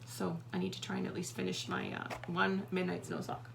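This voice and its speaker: Gravelly, husky woman. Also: lowish voice, completely flat delivery, fairly quick. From Canada. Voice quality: husky, scratchy. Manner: chatty and rambling, with many fillers. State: hoarse. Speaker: podcast co-host. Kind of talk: casual chat.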